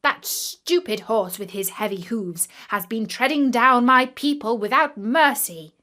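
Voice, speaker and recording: A woman's voice: fairly high pitch, unhurried, bright, forceful tone. A woman voicing a tiny, indignant ant king with an emphatic, regal manner in a storybook tale. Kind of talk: children's storybook character voice.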